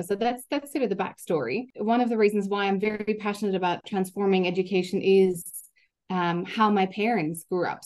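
A woman's voice: medium pitch, darkish tone. A woman in her thirties from Germany, with a German accent, who speaks as a guest in an earnest, articulate way and often repeats a word when she restarts. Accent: German, with time in Ireland. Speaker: guest, learning innovation executive. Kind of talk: reflective interview talk.

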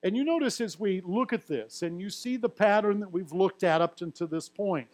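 A man's voice: high voice, moderate pace, a little mellow. A man preaching a teaching sermon, reading a genealogy aloud and explaining it with light humor. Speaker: pastor. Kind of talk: sermon teaching through scripture.

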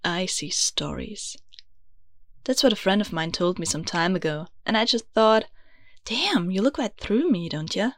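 A woman's voice: medium pitch, lively intonation, unhurried, no echo. Young austrian woman doing a podcast from her closet, earnest and confiding, dry close sound. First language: German. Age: young adult. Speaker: podcast host. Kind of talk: scripted podcast monologue. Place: small closet.

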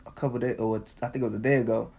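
A man hosting a casual podcast interview, relaxed and affirming toward his guest. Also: mid-range voice, racing, creaky, muffled recording, slight background noise. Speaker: podcast host.

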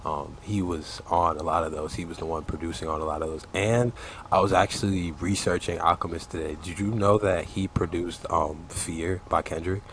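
A man's voice: low-pitched, fairly even intonation, moderate pace, fairly bright tone, a little hiss or hum. A man co-hosting a casual music podcast, speaking in an easygoing way with hesitant "um"s. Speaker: podcast co-host.

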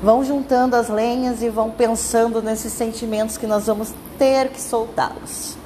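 A woman with a Brazilian accent leading a guided meditation in a calm, soothing, instructing manner with drawn-out phrases. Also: a little high, slight background noise.

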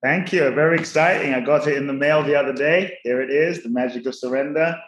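A man's voice: on the high side, fairly quick, fairly bright tone, a little hiss or hum. Earnest guy from ghana raised in london, podcast guest, roomy echoey sound. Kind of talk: reflective storytelling. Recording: roomy echo.

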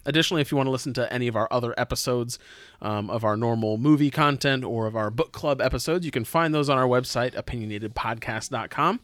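A man's voice: mid-range voice, fast talker, a bit bright, no echo. Man chatting casually, dry close recording. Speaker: podcast host and player in a tabletop game. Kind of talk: casual chat.

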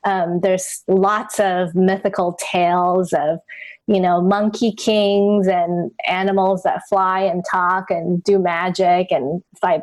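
A middle-aged woman from Taiwan, whose first language is Chinese, speaking as an interview guest in a reflective, searching way with many pauses and restarts. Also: lowish voice. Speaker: guest, author and podcaster. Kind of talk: conversational interview.

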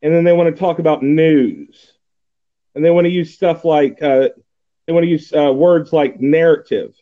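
Forties, Texan man, casual podcast host, rambling delivery, slightly high voice, phone-quality audio. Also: normal speed. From Texas. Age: 40s. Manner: rambling, many fillers and restarts. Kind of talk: casual chat. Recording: tinny phone-like line.

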